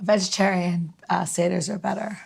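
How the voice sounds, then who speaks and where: A woman's voice: on the low side, fairly even intonation, moderate pace, creaky, breathy edge. A woman co-hosting a podcast, talking in a chatty, informal way in casual conversation. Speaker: podcast co-host.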